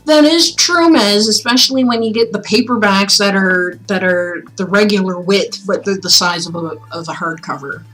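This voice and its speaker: A woman livestreaming in a chatty, expressive way, as a host who reads aloud and talks with viewers. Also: fairly low pitch, rough recording. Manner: chatty, expressive, breaks off into asides. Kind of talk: casual livestream chat with storybook reading aloud. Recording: music playing behind the voice. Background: background music.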